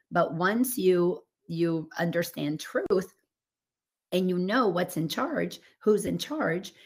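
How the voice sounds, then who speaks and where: A woman coach whose voice is raspy after laryngitis, talking earnestly and expansively over a remote call. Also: on the low side, darkish tone. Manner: earnest and expansive, building on images. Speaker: coach and guest. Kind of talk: casual spiritual podcast chat.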